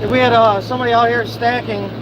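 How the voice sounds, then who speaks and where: A man's voice: extremely high pitch, level tone, quick pace, lo-fi sound. A young man from Michigan, a farmer chatting casually and bluntly with viewers on a livestream from his field, swearing freely as he talks. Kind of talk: casual live chat with viewers. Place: outdoors on a farm, near running machinery. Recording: loud machinery noise behind voice.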